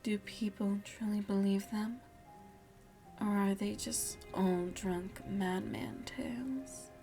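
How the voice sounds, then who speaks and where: A seductive, motherly vampire played by a woman murmurs in hushed, breathy ASMR roleplay. Her pace is slow and deliberate, her tone dark and soft. She coaxes and teases with quiet menace, close to the mic over faint music. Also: mid-range voice. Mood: ominous, intriguing. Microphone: close microphone.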